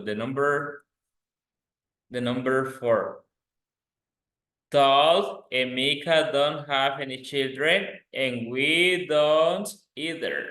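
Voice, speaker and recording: Guy teaching english grammar, bright forceful voice, super slow, repeats stuff. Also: mid-range voice. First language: Spanish. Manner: deliberate, instructive, repeats phrases. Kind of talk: grammar lesson, reading examples aloud. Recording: echoey, roomy sound.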